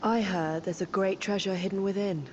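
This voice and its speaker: An actress playing a guarded, knowing female stranger with a brisk manner in a video game cutscene. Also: mid-range voice, steady tone, darkish tone, close to an everyday voice. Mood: coolly advising. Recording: dull sound with background noise.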